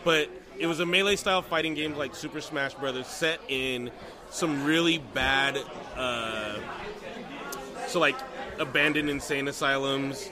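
A man's voice: mid-range voice, unhurried. A man chatting casually on a podcast, describing things in a loose conversational way. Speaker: podcast co-host. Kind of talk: casual chat, describing video games. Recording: busy crowd noise around the voice.

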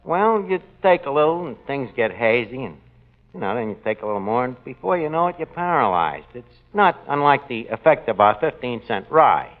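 Brief: man as pompous tavern boss, old-time radio comic, mangles big words, wildly lively pitch, muffled hissy broadcast. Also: brisk, close to an everyday voice. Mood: philosophizing. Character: boastful, folksy middle-aged tavern manager. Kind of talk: 1940s radio comedy acting.

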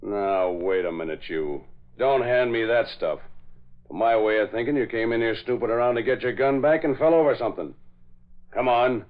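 A man plays a suspicious beat cop, gruff and brusque, full of slang. It is vintage radio crime acting with a dulled, old recording sound. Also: medium pitch, normal speed, narrow, tinny audio, close to an everyday voice. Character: gruff, suspicious male policeman on guard.

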